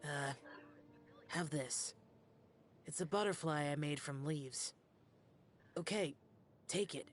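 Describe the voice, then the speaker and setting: An actor playing an aloof, awkward immortal young man in a video game, halting and reserved. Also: on the high side, soft background music. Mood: awkwardly shy.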